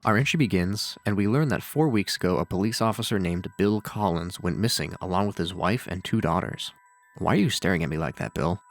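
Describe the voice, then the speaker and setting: Low-voiced man narrating, dryly sardonic. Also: brisk. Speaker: video narrator and reviewer. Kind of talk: scripted horror series commentary with casual asides. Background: faint music.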